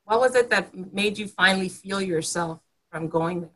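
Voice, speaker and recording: A woman's voice: mid-range voice, moderate pace, sharp tone. A woman hosting a conversational interview as a coach, asking curious questions and repeating words as she searches for them. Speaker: podcast host and coach.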